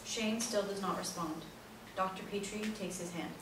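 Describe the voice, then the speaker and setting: A woman narrates a stage play, reading out scene directions in a scripted style. Her mid-pitched voice comes from far off the mic in a very echoey, noisy room. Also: steady tone, moderate pace, a bit bright.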